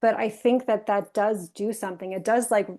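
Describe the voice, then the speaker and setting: A woman's voice: medium pitch, moderate pace. A woman editor and translator who grew up in the United States, talking thoughtfully in a roundtable over a video call. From Tunisia, raised in the United States. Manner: thoughtful, animated, talks through ideas with restarts. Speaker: managing editor and literary translator. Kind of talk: roundtable discussion.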